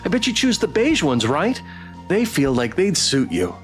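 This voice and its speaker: Animated, higher-voiced man streaming a game. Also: normal speed, faint music behind. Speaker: streamer playing and voicing a visual novel. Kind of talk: playthrough read-aloud and commentary.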